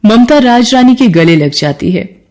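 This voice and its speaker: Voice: medium pitch, lively intonation, measured pace, a little mellow. A narrator reading a scripted cartoon folk story.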